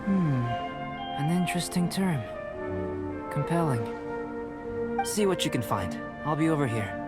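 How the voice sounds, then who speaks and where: A man playing a young alchemist in a video game, calm, scholarly and politely detached, with measured, formal speech. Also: a little high. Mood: intrigued. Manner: measured, formal, precise wording. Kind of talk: video game character acting. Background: game music.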